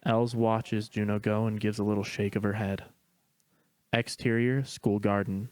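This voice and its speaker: A man delivers scripted narration of stage directions. His voice is fairly low and stays very even. He sounds matter-of-fact, in a dry recording with no room sound. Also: measured pace, no room sound.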